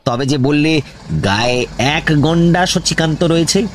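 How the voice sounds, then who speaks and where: An actor playing a pushy, impatient investigator in a comic radio drama, questioning insistently and self-importantly with a West Bengal accent. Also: male voice, a little high, a little slow, a little hiss or hum, lightly acted. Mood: exasperated. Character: pushy, impatient male investigator grilling a villager. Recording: music behind the voice.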